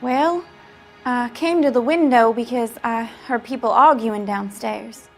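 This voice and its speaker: A woman's voice: fairly high pitch, a little slow, faint music behind. A woman playing an eager, coy young witness in a crime drama game, polite and hesitant.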